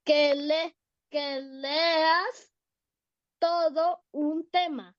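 A woman voicing a small, playful puppet friend with a squeaky, teasing voice. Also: fairly even intonation, extremely slow, dull audio.

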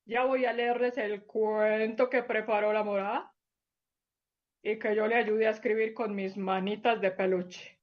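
A woman voicing a gentle plush lion puppet who tells stories to small children in a sweet, coaxing way. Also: medium pitch, steady tone, measured pace, muffled recording, natural voice. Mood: tender. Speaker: children's storyteller. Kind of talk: children's puppet show storytelling.

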